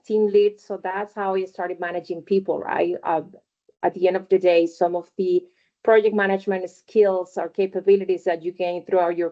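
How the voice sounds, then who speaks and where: A woman's voice: lowish voice, a little mellow. Woman on a muffled call. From Venezuela. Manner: conversational, frequent fillers and "right?" tags. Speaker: webinar panelist. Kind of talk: career talk on a video call.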